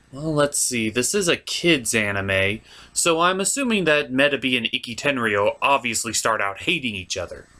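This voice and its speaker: A man hosting a comedic review show, narrating a script in an animated, theatrical and exaggerated way with mock exasperation. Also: medium pitch.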